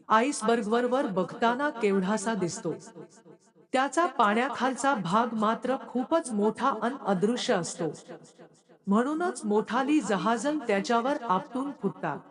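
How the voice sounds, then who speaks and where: Woman reading as a hurt, plaintive wife, echoing. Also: fairly high pitch. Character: hurt, anguished estranged young wife writing farewell letter. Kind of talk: dramatic reading of a letter.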